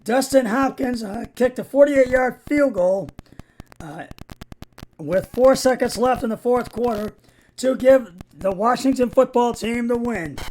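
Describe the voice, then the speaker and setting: Male amateur sports host, raspy mid-high voice, halting and stumbling delivery, noticeable background noise. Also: a little mellow, poor audio. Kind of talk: amateur football game recap.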